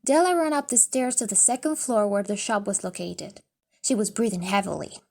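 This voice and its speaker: A woman reading scripted story narration in a careful, deliberate manner. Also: mid-range voice, gravelly, a little mellow. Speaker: audiobook reader.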